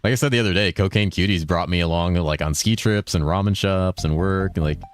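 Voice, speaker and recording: A young man streaming a video game, chatting casually with his viewers in a relaxed, rambling way, with a deep voice he holds slightly higher than its natural pitch. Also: brisk, clear, resonant tone, soft background music. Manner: laid-back, rambling, swears a lot, reads chat aloud. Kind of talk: casual live-stream chat while gaming.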